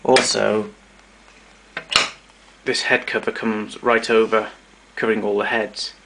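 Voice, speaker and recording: A man narrating a casual show-and-tell of his tape recorders, explaining step by step with pauses and fillers. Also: fairly low pitch, flat delivery, creaky, background noise.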